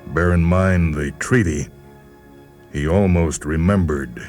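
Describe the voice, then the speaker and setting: Man voicing a low, formal alien doctor. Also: slow, darkish tone, soft background music. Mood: cautioning. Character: reflective, formal male alien manipulator posing as doctor.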